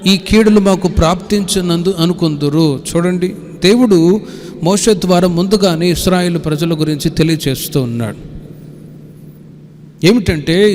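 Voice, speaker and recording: A man's voice: sits high, darkish tone. A man preaching as a pastor, reading scripture and explaining it in a didactic, repetitive way. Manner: didactic, repeating phrases and citing verses. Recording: hall with long reverb.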